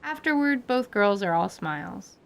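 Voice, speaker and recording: A woman's voice: mid-range voice, lively intonation, moderate pace, vocal fry, a bit bright. A woman reading scripted narration for a fantasy audio drama.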